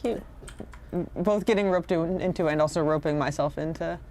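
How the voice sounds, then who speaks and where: A woman's voice: low voice, moderate pace, darkish tone, slight background noise. A woman in casual, reflective conversation, rambling and slipping into quoted asides as she explains herself.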